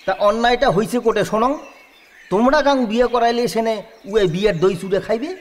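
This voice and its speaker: A man's voice: very high-pitched, fast talker. A man playing a sly, teasing village friend in a rural audio drama, drawling his jokes.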